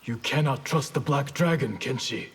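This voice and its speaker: An actor playing a grave, dutiful ninja clan master in a video game, speaking formally in a husky voice. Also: male voice, mid-range voice, normal speed, lightly acted. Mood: solemn. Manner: formal, solemn. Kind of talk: video game fight banter.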